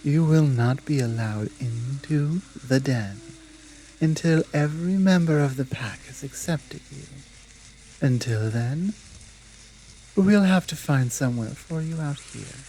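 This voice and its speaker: A woman playing a stern, dominant female werewolf pack leader in an ASMR roleplay, speaking in a measured, commanding manner with deliberate pauses. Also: low-pitched, lively intonation, mellow, slight background noise, low-quality recording. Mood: wary, firm.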